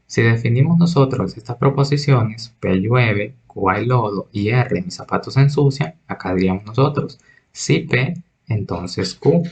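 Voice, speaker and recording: A man's voice: medium pitch, a little mellow. A man teaching a recorded logic lesson, patiently reading examples and explaining them step by step. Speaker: math teacher.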